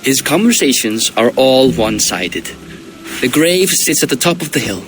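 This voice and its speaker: An actor playing a cocky, hot-headed young Viking prince with a brash manner in a video game. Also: male voice, mid-range voice, sing-song, normal speed, a bit bright, slight background noise, rough recording. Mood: earnest. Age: young adult. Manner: taunting, brash. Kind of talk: dramatic video game cutscene acting.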